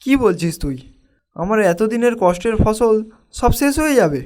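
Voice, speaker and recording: A man's voice: high-pitched, sing-song, normal speed, darkish tone. A man acting a humble, anxious village fisherman in a cartoon, with a meek, pleading way of speaking. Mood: distressed.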